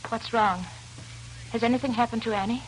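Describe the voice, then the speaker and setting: An actress playing a spirited, kindly young showgirl with crisp, polite delivery in 1940s radio drama style. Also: a little high, steady tone, a little fast, noisy background, close to an everyday voice. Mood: anxious. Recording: old 1940s radio broadcast with music.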